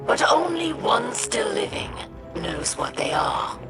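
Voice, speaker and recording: A woman's voice: on the low side, big pitch swings, takes it slowly, full character voice. A woman playing an imperious female alien warlord in a video game, speaking in a haughty, biting, sarcastic manner. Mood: grave, disdainful. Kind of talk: video game cutscene acting. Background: cinematic music.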